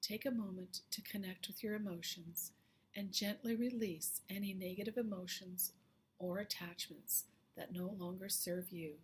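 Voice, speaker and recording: A woman leading a slow guided meditation in a soft, hushed, soothing voice that often trails into whispery breath. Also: medium pitch, steady tone, slight background noise. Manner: slow, soothing, with long pauses.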